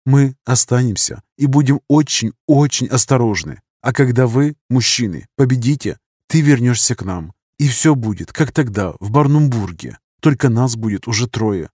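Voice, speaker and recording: Man voicing a gentle resolute young wife. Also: lively intonation, normal speed, few pauses, breathy edge, no room sound, clean studio sound. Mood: tender. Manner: soft, earnest, slightly raised pitch. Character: young woman, calm, resolute wife and mother. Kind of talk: audiobook character reading.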